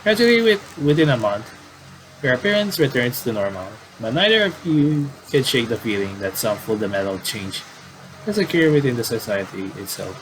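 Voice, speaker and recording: A man doing casual game commentary and reading aloud, in a halting, rambling way with repeated words. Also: medium pitch, very animated, background noise.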